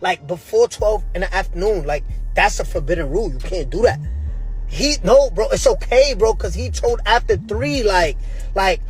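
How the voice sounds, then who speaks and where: A man's voice: high-pitched, expressive, moderate pace, faint music behind, rough recording. A man in a heated livestream rant, emphatic and repeating words for effect.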